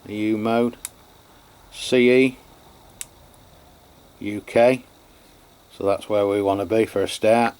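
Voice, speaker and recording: English bloke with a low voice doing a chatty gadget review, kinda halting, matter of fact. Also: male voice, darkish tone. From England. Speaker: radio reviewer. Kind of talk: unscripted product review and demonstration.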